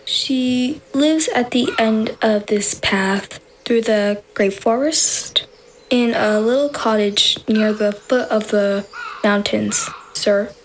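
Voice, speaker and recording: A woman's voice: on the high side, takes it slowly, slight background noise, rough recording. An actress playing a polite, innocent little girl in an audio fairy tale, sweet and careful in her courtesy. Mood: earnest, innocent.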